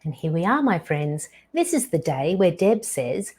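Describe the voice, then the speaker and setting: A woman in her fifties hosting her own show, telling a personal story slowly and deliberately, with dramatic pauses. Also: fairly low pitch, big pitch swings, darkish tone, breathy edge. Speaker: podcast host.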